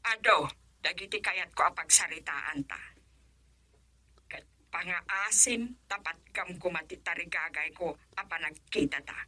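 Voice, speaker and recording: A woman's voice: fairly low pitch, unhurried, pushed and bright, full character voice. A woman playing an aggrieved, resolute mother in a radio drama, speaking in a firm, pleading way. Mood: grave.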